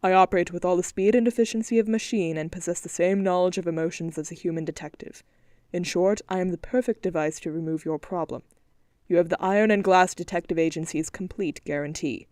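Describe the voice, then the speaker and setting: A woman voicing a male robot detective in a stiff, formal, measured way. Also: fairly low pitch, steady tone, darkish tone, no echo, clean studio sound, lightly acted.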